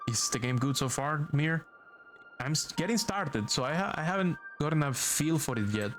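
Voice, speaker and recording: A man's voice: mid-range voice, fairly bright tone. A Venezuelan man with a thick Maracaibo accent, a native Spanish speaker, chatting playfully while he streams a video game and reads its text aloud. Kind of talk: casual live-stream commentary while gaming. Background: game music.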